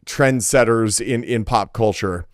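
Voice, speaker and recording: A man's voice: fairly low pitch, a little slow, no room sound, studio quality. A man co-hosting a gaming podcast, talking casually and enthusiastically with an informal turn of phrase.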